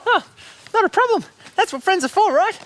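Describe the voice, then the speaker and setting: A woman voicing a small, lonely but cheerful oxpecker bird on a children's TV show, chirpy and friendly with a very high voice. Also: lively intonation, fairly quick. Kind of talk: children's TV acting.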